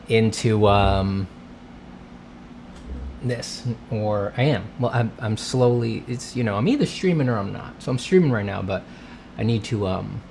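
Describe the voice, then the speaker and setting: A man's voice: medium pitch, darkish tone, slight background noise. Congested man rambling on a livestream. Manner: rambling, self-interrupting, greets chat. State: congested, coughing. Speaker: livestream host, artist. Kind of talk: casual livestream chat. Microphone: streaming setup mic.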